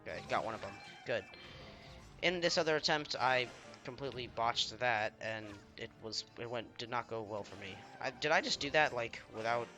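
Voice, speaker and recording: A man with a slightly hoarse voice from feeling unwell, giving rambling, self-deprecating commentary while he plays a video game. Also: mid-range voice, animated, moderate pace, bright, forceful tone, faint music behind. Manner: rambling, self-deprecating, trailing off mid-sentence. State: slightly hoarse, under the weather. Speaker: let's play gamer. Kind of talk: casual gameplay commentary.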